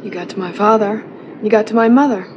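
An actress playing a sharp, distrustful, haughty young heiress in a 1980s television soap drama. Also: female voice, mid-range voice, fast, natural voice. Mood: accusing, scornful. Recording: muffled TV soap clip, faint noise.

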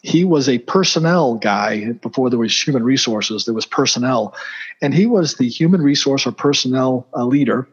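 A man's voice: medium pitch, expressive, normal speed, slightly rough, muffled recording. A middle-aged man from Boston with a Boston accent, a guest in an interview, talking casually with many fillers and restarts. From Boston, USA.

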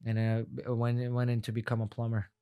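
A man's voice: mid-range voice, monotone, brisk, clear, resonant tone, phone-quality sound. A young man from Afghanistan, a non-native English speaker whose first language is Farsi, talking casually as an interview guest, rambling and repeating words in a heartfelt way. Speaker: guest fighter.

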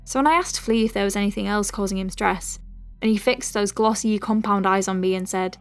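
A woman acts as a conscientious female doctor in an audio drama, recording case notes at a medium pitch. She moves between clinical dictation and gentle, chatty asides, with music underneath. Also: brisk, close to an everyday voice. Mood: concerned. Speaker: lead character, physician.

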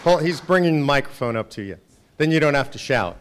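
A man with a naturally loud voice speaks to a room of supporters and legislators. His delivery is conversational yet emphatic, sitting at an ordinary middle pitch. He keeps checking in with the crowd through rhetorical questions. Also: expressive, fast. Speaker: organization president speaking to volunteers. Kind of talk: speech with audience questions. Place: meeting room with a live audience.

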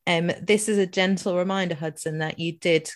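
A woman's voice: fairly low pitch, fairly even intonation, a little fast. A Scottish woman co-hosting a casual podcast, teasing and laughing often. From Scotland. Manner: giggly, teasing, sweary. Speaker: podcast co-host. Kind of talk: casual chat.

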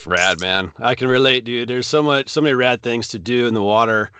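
A man's voice: medium pitch, a little fast, bright, forceful tone, smooth, no echo. Man, podcast host, from California, laid-back surfer slang, casual interview, dry recording.

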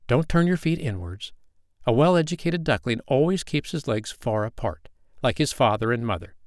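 A man's voice: mid-range voice, lively intonation, normal speed, dry recording, studio quality, close to an everyday voice. A man voicing a fussy, weary mother duck in a storybook reading, fretful and motherly. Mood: proud.

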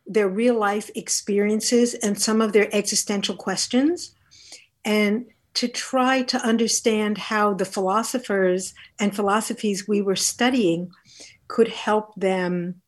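Measured, articulate woman in conversation. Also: medium pitch. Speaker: guest, philosophy teacher. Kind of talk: reflective interview conversation, storytelling.

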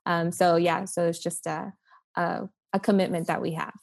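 A woman's voice: on the low side, flat delivery, moderate pace, creaky. A young woman from Oklahoma City, a campus pastor, talking earnestly and reflectively as a podcast guest, with many "like" and "um" fillers. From Oklahoma City, USA.

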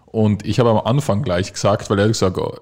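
A man's voice: lowish voice, fairly even intonation, fairly quick, a little mellow. A young man co-hosting a podcast, telling a rambling story with frequent laughs. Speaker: podcast co-host. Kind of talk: casual chat.

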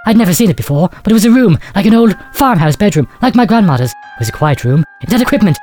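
A man voicing a calm, dreamy young woman who softly recalls a strange room, his voice raised well above his own. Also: sits high, fast talker, faint music behind, pitched above the performer's own voice.

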